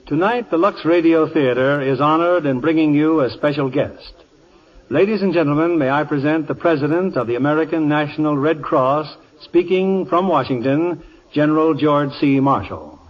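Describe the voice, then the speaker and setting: Oldtime radio host guy, slightly high voice, polished script reading, muffled recording. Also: normal speed. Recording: dull old radio recording.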